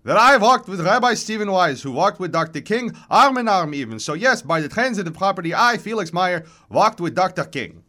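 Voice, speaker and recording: Feisty ancient kvetcher, reedy and high, Yiddish-inflected New York accent, swooping pitch, quick sharp diction, storybook reading. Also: male voice, sing-song, full character voice. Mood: indignant, needling. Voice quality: reedy, chirping. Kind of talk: dramatized short story reading.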